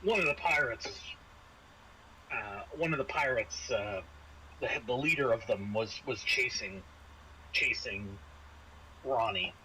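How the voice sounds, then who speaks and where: A man acting as game master, narrating a tabletop role-playing game in a halting, improvised way that repeats phrases. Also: medium pitch. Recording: home recording with clear background noise.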